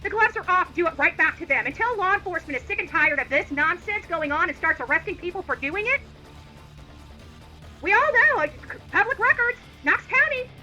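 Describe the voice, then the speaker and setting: A woman's voice: extremely high pitch, sharp tone, low-quality recording. A woman livestream host delivering an indignant, opinionated rant in a combative, emphatic and repetitive manner.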